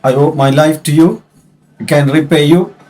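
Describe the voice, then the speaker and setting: Man playing a frightened, higher-voiced humble waiter. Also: steady tone, moderate pace, darkish tone, faint music behind, natural voice. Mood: grateful. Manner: urgent, pleading, deferential. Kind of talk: radio drama acting.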